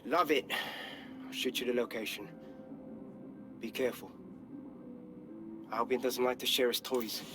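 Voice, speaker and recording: A man acts a cocky British resistance insider in a game cutscene. His voice sits at a middle pitch, talking in a casual, streetwise, matter-of-fact way over faint music. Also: steady tone, unhurried, pauses often, close to an everyday voice. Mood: wry, easygoing. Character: cocky, streetwise male underground resistance contact.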